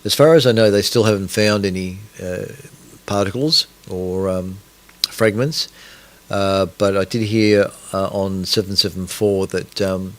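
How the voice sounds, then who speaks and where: A man's voice: sits low, steady tone, measured pace. An Australian man hosting a casual astronomy radio broadcast, rambling and hesitant with many fillers as he sets things up. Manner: rambling, hesitant, many fillers, talks to himself while setting up. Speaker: radio host.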